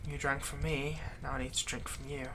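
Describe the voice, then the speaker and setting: A man playing a vengeful slave who is turning himself into a vampire, in a close roleplay monologue, taunting and theatrical, dropping into breathy whispers. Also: medium pitch, level tone, a little fast, noisy background, natural voice. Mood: bossy, threatening. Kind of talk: roleplay audio acting, close ASMR monologue.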